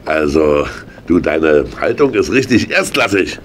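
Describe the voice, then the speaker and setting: A man's voice: extremely low pitch, sing-song, measured pace, slight background noise, heavily acted. A man playing a jovial, scheming, kindly uncle in a children's radio play, hearty and emphatic. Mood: hearty amazed. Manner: hearty, chuckling, emphatic with tag questions. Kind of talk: 1980 German children's radio play acting.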